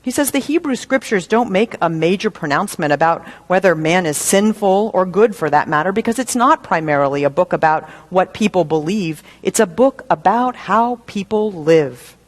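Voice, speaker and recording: A woman minister delivering a sermon in a measured, deliberate way, using rhetorical questions and repetition for emphasis. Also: lowish voice, animated. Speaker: minister preaching.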